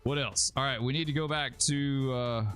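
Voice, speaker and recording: A man's voice: mid-range voice, steady tone, moderate pace, fairly bright tone, soft background music. A man from Alabama, casually commentating his live stream, rambling and chatting with viewers. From Alabama, USA. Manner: laid-back, rambling, chats with viewers, says dude and bro. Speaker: livestreaming gamer host.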